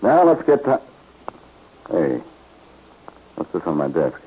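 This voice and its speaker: Bossy clever detective type, deep husky voice, forties radio drama, dull old recording. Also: male voice, huge pitch swings, takes it slowly, warm tone, exaggerated voice. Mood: wary, commanding. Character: shrewd, commanding male amateur sleuth. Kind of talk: 1940s radio acting.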